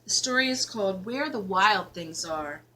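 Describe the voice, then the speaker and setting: A woman's voice: medium pitch, animated, normal speed, pushed and bright, a little hiss or hum, poor audio. A woman reading a children's picture book aloud, expressively and in simple phrases aimed at young listeners. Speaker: elementary school teacher reading aloud.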